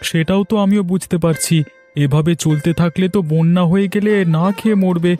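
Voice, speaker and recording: A man plays a poor village fisherman and farmer in a cartoon tale. His voice sits a little high and has a soft, mellow tone. He sounds plaintive and worried, with faint music behind him. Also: fairly even intonation, fairly quick, close to an everyday voice. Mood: worried, practical. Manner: plaintive, lamenting. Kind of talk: cartoon story dialogue.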